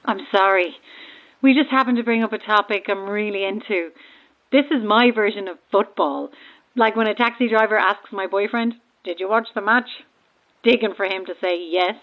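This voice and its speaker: An actress playing an eerie, self-aware believer in ghosts calling from a stranded boat, conversational and cryptic, through a radio filter. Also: female voice, mid-range voice, moderate pace, muffled recording. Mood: chatty, keen. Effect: radio transmission filter. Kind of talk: naturalistic audio horror drama acting. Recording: thin, band-limited marine radio.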